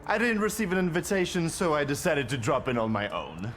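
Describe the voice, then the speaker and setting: An actor playing a cocky, loyal, sharp-tongued rogue poet in a video game, flippant and mocking. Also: male voice, a little high, big pitch swings, fairly quick, faint music behind. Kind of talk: dramatic video game dialogue acting.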